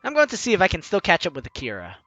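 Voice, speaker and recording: A man playing a game and reading its dialogue aloud, animated with joking asides. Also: sits high, racing, lo-fi sound. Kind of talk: let's play narration. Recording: game music behind.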